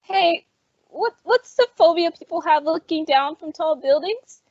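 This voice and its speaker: A woman's voice: very high voice, expressive, unhurried, darkish tone, no room sound. A woman playing a friendly, chatty young woman in an old-time radio drama. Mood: breezy. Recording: dull, lo-fi audio.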